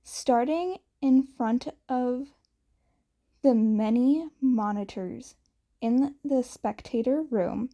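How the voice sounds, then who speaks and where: A woman reads fiction aloud in a slightly high, mellow voice. She takes her time through each sentence, keeping a measured storytelling delivery. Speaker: fan fiction reader. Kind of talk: scripted story narration.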